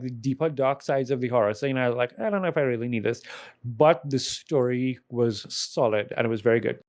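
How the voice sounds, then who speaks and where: A man's voice: mid-range voice, darkish tone. A man on a podcast panel talking casually through a review list, explaining as he goes with opinionated asides.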